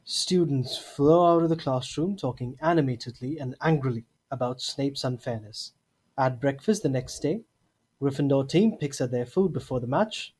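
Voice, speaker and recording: A man's voice: medium pitch, darkish tone, slightly rough. A man narrating a scripted story for an audio drama. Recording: noisy, rain and thunder effects behind.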